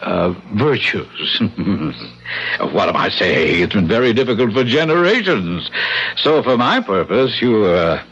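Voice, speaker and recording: An actor playing a genteel older landlord in a 1970s radio drama, fussily polite and ingratiating with a faintly sinister edge and sly, hesitant, mannered phrasing. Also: male voice, on the low side, sing-song, a little slow, fairly bright tone, breathy edge, heavily acted. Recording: muffled 1970s radio broadcast recording.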